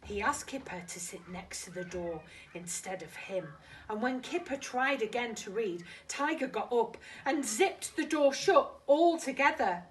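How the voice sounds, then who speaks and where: A woman's voice: fairly high pitch, lively intonation, unhurried, recorded from a distance, slight background noise, rough recording. A woman reading a children's story expressively, dramatic and addressing young listeners directly. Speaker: primary school teacher reading to children.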